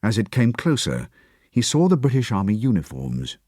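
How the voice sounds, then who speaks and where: A man reading an audiobook in a measured, expressive storytelling voice. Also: on the low side, no room sound, studio quality. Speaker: audiobook narrator. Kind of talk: scripted narration.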